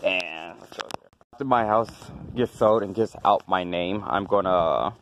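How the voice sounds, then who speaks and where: A man's voice: deep voice, measured pace, a bit bright, a little hiss or hum. A man who is a house inspector and HVAC tech, giving a casual, rambling walkthrough with offhand, dismissive asides, filmed on a handheld phone outdoors beside a house and in a basement utility room. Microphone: handheld phone camera.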